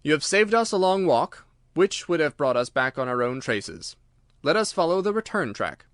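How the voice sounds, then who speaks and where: A man voicing a cool, self-assured detective with measured, smooth authority in a storybook reading. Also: fairly high pitch, very animated, fairly bright tone, dry recording, clean studio sound. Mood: brisk, pleased.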